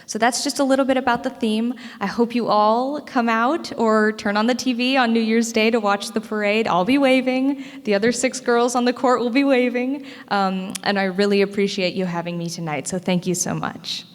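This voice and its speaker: A teenage American woman, a high school student, giving a prepared public speech. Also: fairly even intonation, normal speed, few pauses. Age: teens to young adult, high school student. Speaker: parade court student speaker. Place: indoor school meeting room. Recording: echoey hall with long reverb.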